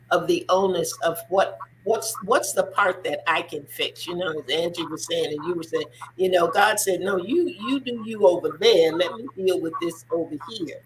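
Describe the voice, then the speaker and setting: A woman's voice: on the low side, slight background noise, rough recording. Earnest woman hosting a faith talk show. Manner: earnest, repeats words for emphasis. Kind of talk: faith-based discussion.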